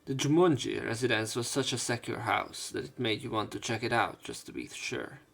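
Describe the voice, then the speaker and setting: A man with a gravelly, fry-laden voice reads game text aloud, then breaks off into joking asides. His pitch sits in the middle, and he talks in a loose, casual way with fillers. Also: expressive, a little fast, fairly bright tone. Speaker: let's play host.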